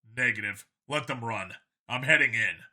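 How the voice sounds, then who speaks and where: A calm, commanding space cop acted by a male narrator, with a forceful, sharp voice. He talks curtly and with dry confidence, as a hero in a science fiction audiobook. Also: mid-range voice, normal speed. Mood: curt, decisive. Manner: curt, dry, authoritative. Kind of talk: audiobook character voice.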